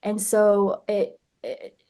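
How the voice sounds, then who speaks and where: A woman's voice: medium pitch, monotone, drawn-out words, dark tone. A young woman who grew up in the United States, chatting casually as an interview guest, laughing often and restarting her phrases. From born in Vietnam, grew up in Nashville, USA. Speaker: guest actress.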